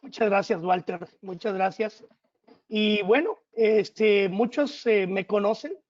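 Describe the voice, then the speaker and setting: A man's voice: high-pitched, slow, lo-fi sound. A middle-aged man hosting and moderating a webinar, friendly and using fillers. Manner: friendly, uses fillers like "este". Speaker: host, moderator.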